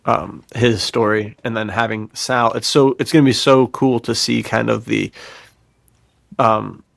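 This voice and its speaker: A man's voice: mid-range voice, fairly even intonation, measured pace, creaky, dry recording, studio quality. A man hosting a casual podcast chat about writing, talking in an effusive, informal way as a fellow author. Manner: effusive, informal, says "dude". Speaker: podcast host and fiction author.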